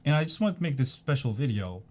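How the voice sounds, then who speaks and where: Casual vlogger: man, 40s, from South Korea, mellow warm tone, loose rambling delivery full of ums. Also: mid-range voice, very animated, fast. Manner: rambling, many ums and restarts, repeats "go ahead and". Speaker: video creator. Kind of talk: casual screen-share explainer.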